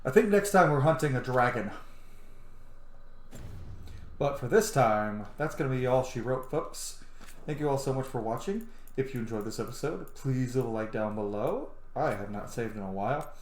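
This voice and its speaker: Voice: medium pitch, expressive, normal speed, reverberant. A gaming host giving casual, chatty, reactive let's-play commentary. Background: video game music.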